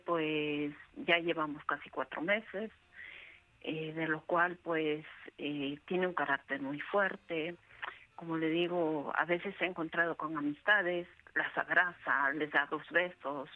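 Woman, Peruvian accent, radio caller, lowish voice, very slow, halting personal account, narrow telephone sound. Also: steady tone. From Peru. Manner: hesitant, halting. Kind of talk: personal account told on air. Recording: band-limited phone call to radio.

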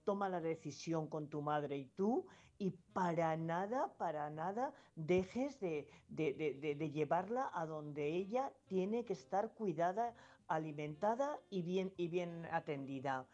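Low-voiced Spanish grandmother calling in. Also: animated, a little slow, darkish tone. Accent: Spanish from Spain. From La Mancha, Spain. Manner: earnest, insistent, repeats herself, many hesitations. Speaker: radio caller. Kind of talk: emphatic advice on a phone-in. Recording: dull lo-fi phone-in line with faint music.

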